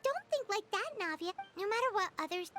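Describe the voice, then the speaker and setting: Tiny game fairy: squeaky, very high, animated, loyal and excitable. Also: female voice, brisk, music in the background. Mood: earnest, comforting. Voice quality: squeaky, childlike. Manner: excitable, exclamatory, refers to herself by name. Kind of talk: anime-style game voice acting.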